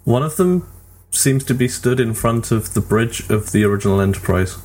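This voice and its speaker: A man's voice: mid-range voice, moderate pace, darkish tone. A man co-hosting a casual podcast, putting in dry, wry remarks. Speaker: podcast co-host.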